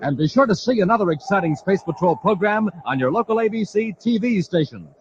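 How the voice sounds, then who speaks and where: A man's voice: high-pitched, expressive, fairly quick. A male radio announcer with a dramatic, theatrical delivery in the style of a 1950s adventure serial. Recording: dull, noisy old radio transcription.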